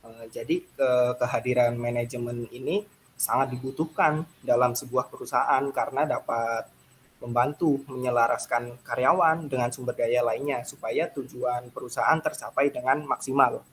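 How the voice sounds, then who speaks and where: A man's voice: mid-range voice, steady tone, normal speed, a bit bright, a little hiss or hum, poor audio. A young adult man, a student giving prepared answers in a podcast discussion.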